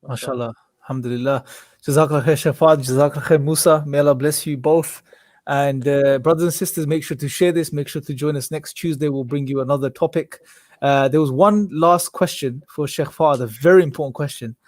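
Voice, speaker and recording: A man's voice: on the high side, steady tone, fairly quick, a little mellow, no echo, studio quality. A man hosting a live stream, thanking his guests and announcing the next episode in a polite, friendly way. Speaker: host.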